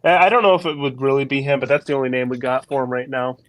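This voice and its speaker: Hesitant male guest, mid-pitched. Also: rapid-fire, no echo. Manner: hesitant, full of ums and restarts. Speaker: guest comic creator. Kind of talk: casual interview chat.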